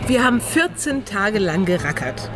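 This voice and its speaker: An actress playing a quick-tongued woman amateur sleuth in a radio crime drama, blunt, teasing and ironic. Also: mid-range voice, sing-song, over loud music, lightly acted. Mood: sardonic.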